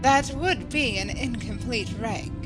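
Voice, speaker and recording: Woman playing a stiff, high-voiced starship ensign. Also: measured pace, over music, lightly acted. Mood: officious. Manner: formal, clipped, precise. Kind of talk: sci-fi audio drama acting.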